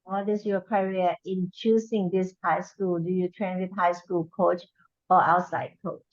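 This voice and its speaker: Woman host of an online Q&A, halting, dry muffled call sound. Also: lowish voice, little pitch movement, no room sound. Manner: halting, repeats words. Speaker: webinar host. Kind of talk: webinar moderation. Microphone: video call. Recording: muffled video call audio.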